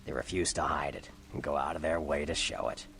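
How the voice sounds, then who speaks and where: Very deep, gravelly vampire informant. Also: male voice, steady tone, fairly quick, a bit bright, slight background noise, full character voice. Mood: scornful, explaining. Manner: sardonic, streetwise, sneering. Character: seedy, cynical, irritable male vampire informant. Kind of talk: video game voice acting.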